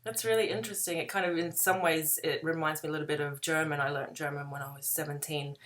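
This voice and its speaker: Woman from Australia, very low voice, hosting a relaxed video call, easygoing with fillers. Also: fast. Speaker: host. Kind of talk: casual video call chat.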